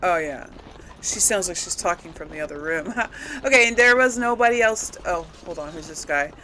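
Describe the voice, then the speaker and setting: A woman giving casual let's play commentary to her audience, rambling and thinking out loud. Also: lowish voice, lively intonation, moderate pace, a little hiss or hum, rough recording. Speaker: host of a gaming role-play series.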